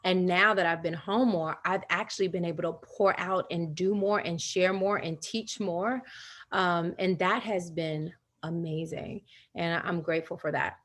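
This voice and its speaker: A woman's voice: on the low side, fairly even intonation, moderate pace, a bit bright. Chatty woman leading a wellness workshop. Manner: chatty and personal, laughs often, acts out quoted voices. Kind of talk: live virtual workshop teaching. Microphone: video call.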